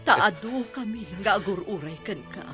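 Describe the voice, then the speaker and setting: A woman's voice: mid-range voice, expressive, over music, lightly acted. An actress playing a tender, long-suffering mother of a prisoner in a radio drama, emotional and with an Ilocano accent. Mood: tearful. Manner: emotional, tearful, slow.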